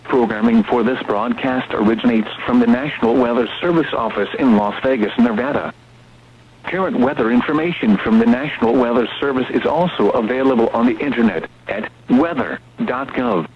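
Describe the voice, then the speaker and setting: A man reading scripted weather radio station identifications in a formal, measured way, like a public service announcer. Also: medium pitch. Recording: muffled, noisy weather radio broadcast.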